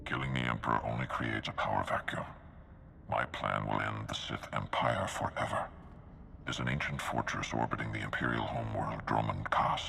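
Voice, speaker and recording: Very deep, gravelly Jedi master. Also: male voice, steady tone, moderate pace, pushed and bright, big-room sound, slight background noise, full character voice. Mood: determined. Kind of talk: video game cutscene acting.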